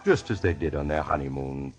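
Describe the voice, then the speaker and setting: An actor playing a suave, sinister mysterious traveler, narrating eerie tales in an ominous, theatrical 1940s radio style. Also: male voice, very deep voice, brisk. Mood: ominous, storytelling. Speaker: host and narrator. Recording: vintage radio with loud music. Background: loud music.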